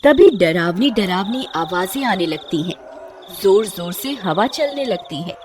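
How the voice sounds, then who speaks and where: A woman reading scripted story narration for a cartoon, with loud noisy ambience around her voice. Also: medium pitch, animated, unhurried.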